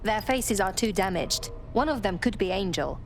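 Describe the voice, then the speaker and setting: A resolute female hacker operative played by an actress in a video game, with a mid-pitched voice. She is direct and decisive, over prominent music. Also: expressive, normal speed, natural voice. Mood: grim. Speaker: resistance operative character.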